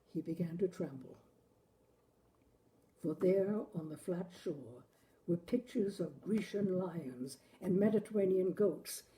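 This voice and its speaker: A woman reading a literary short story aloud with expressive, dramatic delivery. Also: mid-range voice, poor audio. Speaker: story reader, show host. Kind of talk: literary audiobook-style story narration.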